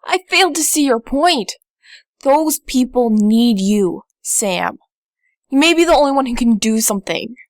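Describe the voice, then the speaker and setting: Boy sidekick for audio drama female actor: high-pitched, earnest, pushy in urging, dry studio-close sound. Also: animated, measured pace, no room sound. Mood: insistent. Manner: earnest, pressing. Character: earnest, insistent young boy, hero's urging friend.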